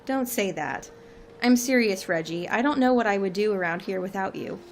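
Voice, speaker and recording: A woman's voice: medium pitch, moderate pace, a little hiss or hum, close to an everyday voice. A woman playing the wry director of a secret organisation in a dry comedic audio drama. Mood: wry, understated.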